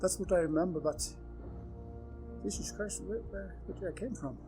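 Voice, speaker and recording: A man's voice: sits high, moderate pace, music in the background, poor audio. A Swedish-accented man roleplaying a puzzled character, exclaiming in confusion. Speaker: player.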